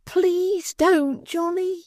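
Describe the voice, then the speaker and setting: A woman's voice: high-pitched, sing-song, measured pace, warm tone, no room sound, clean studio sound, voice pushed up. A woman voicing a little, weepy, tender-hearted male church clerk who whimpers and pleads. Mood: pleading.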